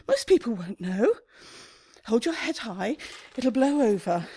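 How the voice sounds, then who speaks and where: An actress in a radio comedy playing a highly strung, indignant woman shop owner, agitated and melodramatic. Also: big pitch swings, unhurried, warm tone, breathy edge, full character voice. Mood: self-soothing. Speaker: actor in a radio play.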